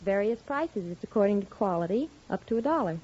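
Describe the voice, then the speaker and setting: A woman's voice: mid-range voice, animated, fairly quick. Woman contestant on muffled old radio. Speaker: quiz contestant, dime store clerk. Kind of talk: short answers on a 1950s radio quiz show. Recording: noisy muffled 1950s radio, studio audience. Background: studio audience.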